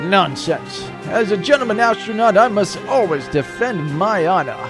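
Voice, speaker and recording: A man's voice: sits high, animated, normal speed, music in the background. A man playing a vain, pompous space commandant in a comedic audio drama, with a flowery, self-important way of talking. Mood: indignant, proud.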